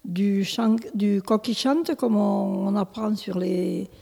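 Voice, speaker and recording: A woman's voice: medium pitch, fairly even intonation, slow pace, mellow, breathy edge, big-room sound. A woman in her seventies, born in Italy, answering interview questions as a village mayor, hesitant with many restarts and fillers. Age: 70s. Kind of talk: local interview.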